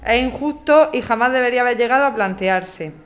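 Actress as female activist, resolute, firm, declarative, slightly high voice, audio drama. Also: fairly quick, close to an everyday voice. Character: resolute female housing activist briefing residents. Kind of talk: scripted audio drama acting.

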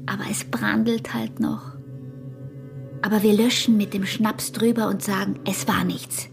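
Slow, teasing young peasant wife. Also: female voice, mid-range voice, little pitch movement, creaky, soft background music. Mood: provocative. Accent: Austrian. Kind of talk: radio drama acting.

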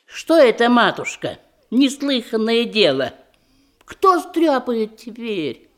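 Slow, theatrical, stingy provincial matron. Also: female voice, on the high side, very animated. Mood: inquisitive. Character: stingy, judgmental middle-aged provincial aunt, approving of thrift. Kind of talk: classic Russian radio theatre comedy in verse.

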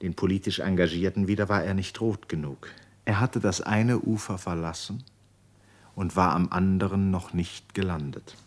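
A man with a deep voice narrates a literary radio play. He reads the scripted prose in a composed, measured manner.

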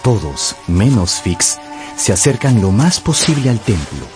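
A man's voice: on the low side, sing-song, unhurried. A man narrating an adventure novel as dramatic scripted storytelling for radio. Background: music.

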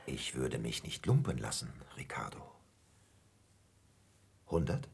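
Very raspy, spiteful schemer. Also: male voice, very deep voice, sing-song, takes it slowly, darkish tone, exaggerated voice. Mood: conspiratorial. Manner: conspiratorial, goading, resentful. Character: Schomberg, spiteful scheming lecherous man. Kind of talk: German radio drama acting.

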